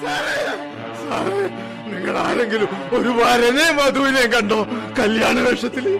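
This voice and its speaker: A man's voice: very high-pitched, lively intonation, measured pace, creaky, music in the background, exaggerated voice. An actor playing an anxious father who searches for his children after a disaster in a radio play. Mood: distressed. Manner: pleading, distressed, comforting.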